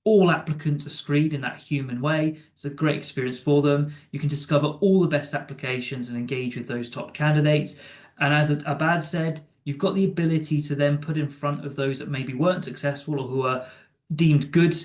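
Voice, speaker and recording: A man giving an informal, conversational recap of a software product in a webinar, now and then stumbling over his words. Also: a little high, normal speed. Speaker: software demo presenter. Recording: roomy, echoing sound.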